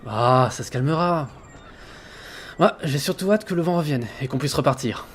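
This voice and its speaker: An actor playing an earnest sailor who narrates his ship's log in a measured, reflective way for an audio drama. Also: on the high side, slight background noise, natural voice. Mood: friendly. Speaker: narrator and lead character. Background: background music.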